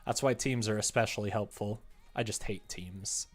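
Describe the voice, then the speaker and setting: A man's voice: lowish voice, moderate pace, faint music behind. A young man chatting casually on a livestream as he works, self-deprecating and muttering to himself, sometimes reading things out. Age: young adult. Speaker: live streamer, game developer. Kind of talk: casual livestream chat while working, reading comments aloud.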